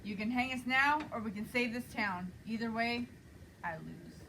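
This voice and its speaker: A man's voice: extremely high pitch, moderate pace, background noise, voice pushed up. A man reading a script aloud, voicing a wounded, defiant outlaw who bargains with a lawman in a western. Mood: desperate.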